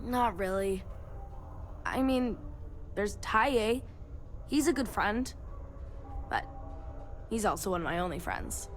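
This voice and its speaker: A woman's voice: medium pitch, expressive, takes it slowly, long pauses, a little hiss or hum. A woman voicing a chatty, childlike young girl from Mars as video game character dialogue. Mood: wistful. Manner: eager, childlike, rambling.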